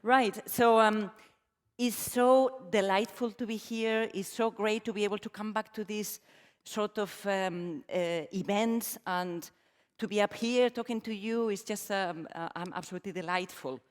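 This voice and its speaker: A middle-aged woman with a Spanish accent presents a talk to a conference audience. Her speech is warm and self-deprecating, full of ums and restarts. The long reverb of a large hall trails behind her words. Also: mid-range voice, measured pace. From Spain. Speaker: conference speaker. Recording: reverberant hall, stage mic.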